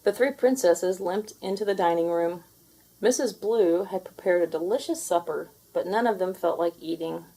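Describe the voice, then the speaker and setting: A woman reading a children's story aloud in an expressive, deliberate storytelling manner. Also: lowish voice, low-quality recording. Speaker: storybook reader. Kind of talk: children's read-aloud narration with character voices.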